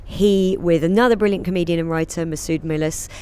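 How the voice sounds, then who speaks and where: A woman, a comedian and singer, chatting as a guest in an interview, laughing often and going off on quick asides. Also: lowish voice, steady tone, darkish tone, smooth. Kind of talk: casual interview chat.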